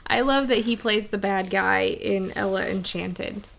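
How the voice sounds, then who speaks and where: A woman's voice: mid-range voice, a little slow, vocal fry, a bit bright. A woman co-hosting a podcast in casual chat, joking and giggly. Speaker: podcast co-host.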